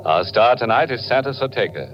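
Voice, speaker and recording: A man's voice: mid-range voice, animated, brisk, lightly acted. A man playing a ghoulish, gleeful horror host on 1940s radio, sly, mock-welcoming and theatrical. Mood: sly, macabre. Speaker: horror anthology show host. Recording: muffled 1940s radio, loud music.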